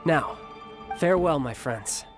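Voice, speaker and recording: Young male warrior: lightly high voice, easygoing and affectionate game dialogue, music underneath. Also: lively intonation, unhurried. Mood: warm farewell. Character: confident, affectionate young warrior, doting big brother.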